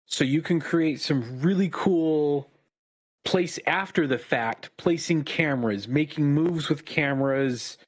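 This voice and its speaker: A man co-hosting a podcast, chatting casually in a calm, even-tempered voice that is not raspy. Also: fairly high pitch, slow. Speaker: podcast co-host. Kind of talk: casual chat.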